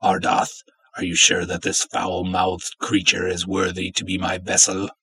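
A man voicing a haughty, seductive undead ancient Egyptian princess in a dramatic story reading, cool, hushed and formal. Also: deep voice, monotone, a little slow, husky, heavily acted. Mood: disdainful.

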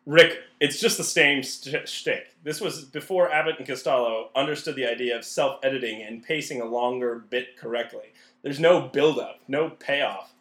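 A man's voice: medium pitch, normal speed, hardly any pauses, a bit bright, echoey room. A man co-hosting and narrating a comedy podcast, recorded in a room. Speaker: narrator and co-host.

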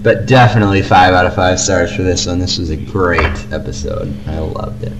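A man's voice: low-pitched, fairly even intonation, a little fast. A man co-hosting a casual fan podcast, talking about things he likes with effusive enthusiasm. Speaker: podcast co-host. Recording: noisy amateur podcast recording.

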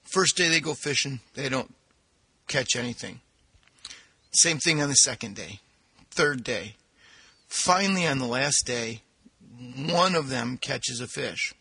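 A man's voice: mid-range voice, animated, measured pace, creaky, pauses often, pushed and bright, breathy edge, dry recording. A man co-hosting a podcast, chatting casually and telling jokes with a giggly manner. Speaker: podcast co-host.

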